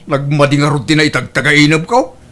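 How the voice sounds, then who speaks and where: A man with an Ilocano accent playing a stubborn, exasperated son in a comedy radio-style drama, animated and complaining. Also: a little high, normal speed, crowd noise, close to an everyday voice. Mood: annoyed, stubborn.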